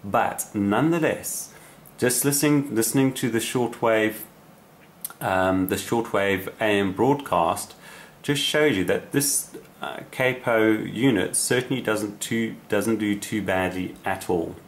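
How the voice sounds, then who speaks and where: A man's voice: on the low side, unhurried, slight background noise. A man giving a casual, unscripted explanatory demonstration as a radio hobbyist, with somewhat hesitant phrasing. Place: small indoor radio room.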